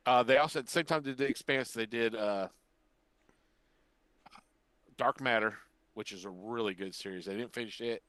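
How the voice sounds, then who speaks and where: A man's voice: medium pitch, fast, a bit bright, no room sound. Older man podcaster in a dry, close recording. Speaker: podcast co-host. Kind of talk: casual chat about sci-fi shows.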